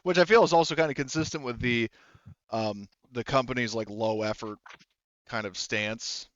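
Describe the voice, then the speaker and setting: A man casually improvising as a tabletop game master, explaining haltingly and often restarting his sentences. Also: mid-range voice, fairly bright tone, dry recording, highs cut off.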